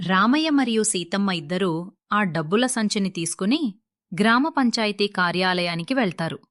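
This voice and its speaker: A woman narrates a moral story from a script. Her voice has an average pitch for a woman. The recording is dry, without room echo. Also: animated, moderate pace, fairly bright tone.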